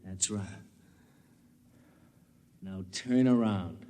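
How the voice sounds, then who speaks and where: An actor playing a smug, cold-blooded armed killer in a 1950s radio drama. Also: male voice, low voice, drawn-out words, warm tone. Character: cold, smug male killer holding a gun. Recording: reverberant vintage radio recording.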